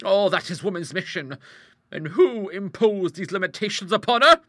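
A man voicing a brisk, outspoken middle-aged English aunt in a storybook, hearty, emphatic and used to commanding. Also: high voice, expressive, bright, forceful tone, dry recording, studio quality, pitched above the performer's own voice. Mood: indignant. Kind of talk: storybook character voice in an audiobook.

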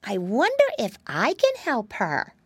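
A woman voicing an excitable, polite little boy piglet in a storybook, eager and exclamatory. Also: on the high side, a little slow, husky, no room sound, pitched above the performer's own voice, exaggerated voice. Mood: wondering.

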